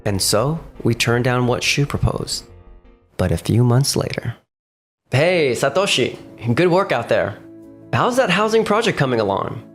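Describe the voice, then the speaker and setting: Manga dub lead: male actor as measured, sensible young boss and narrator, mid-pitched voice over faint music. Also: big pitch swings. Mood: wry narrating, then friendly. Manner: measured, composed. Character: level-headed, cautious young male company boss and narrator. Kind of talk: manga dub dialogue and first-person narration. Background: faint background music.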